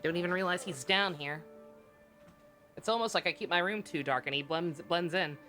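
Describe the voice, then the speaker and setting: A woman's voice: lowish voice, normal speed, bright, forceful tone, soft background music. A woman giving casual live-stream game commentary in a sarcastic, irreverent way, swearing freely.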